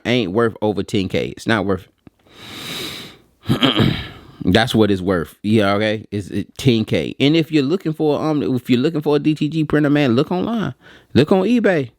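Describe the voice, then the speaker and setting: A man's voice: medium pitch, lively intonation, normal speed, no room sound, clean studio sound. An American man giving casual, unscripted opinions as a video host, blunt and colloquial, repeating phrases for emphasis. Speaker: video host and print equipment reviewer.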